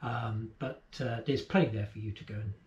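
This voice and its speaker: A man's voice: lowish voice, fairly even intonation, fairly quick, darkish tone. A middle-aged man co-hosting a sailing channel, explaining a port in casual chat from his boat cabin. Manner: chatty, explanatory, trailing off at line ends. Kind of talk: casual travel guide chat. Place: boat cabin, seated indoors. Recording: echoey, dull lo-fi sound.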